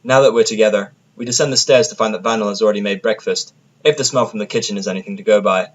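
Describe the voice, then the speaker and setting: A man's voice: fairly low pitch, quick pace. A man narrating scripted first-person fiction as the storyteller of an audio drama.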